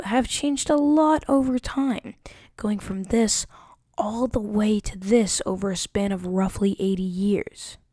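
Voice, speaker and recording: A woman's voice: mid-range voice, a little mellow, dry recording, clean studio sound. A woman narrating a student history project, reading carefully from a script with an occasional stumble. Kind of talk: scripted narration read aloud.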